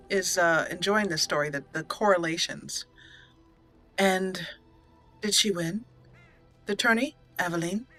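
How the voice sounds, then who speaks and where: Lady doing roleplay game commentary, really bright forceful voice, dramatic pauses, bit of music behind. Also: lowish voice. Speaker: let's play host, roleplayer.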